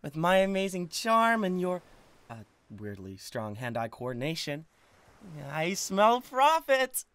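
A man's voice: sits high, very animated, unhurried, a bit bright, clean tone, full character voice. A man voicing a lovestruck, awkward devil king of Hell in an intimate ASMR roleplay, hesitant and stammering. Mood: excited, giddy. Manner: hesitant, stammering, swinging from tender to giddy. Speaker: audio roleplay voice actor.

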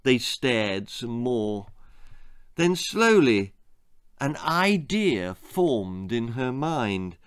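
Male storyteller, sixties, slow and theatrical, wide swings in pitch, for grisly children's fiction. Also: smooth. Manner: expressive, deliberate, theatrical. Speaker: author reading his own stories. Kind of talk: dramatic children's storytelling, scripted narration. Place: small book-lined room indoors.

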